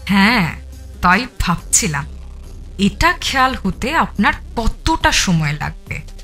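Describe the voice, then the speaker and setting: An actress playing a cold, scheming murderous wife in an audio thriller, composed, menacing and contemptuous. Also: female voice, medium pitch, very animated, takes it slowly, bright, forceful tone, faint music behind, heavily acted. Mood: calm, calculating. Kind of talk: dramatised audio thriller acting.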